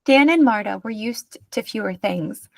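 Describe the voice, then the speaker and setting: A woman narrates a children's picture book. Her delivery is expressive storytelling at a medium pitch. The recording is dry, with very little echo. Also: normal speed, creaky. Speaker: read-aloud narrator.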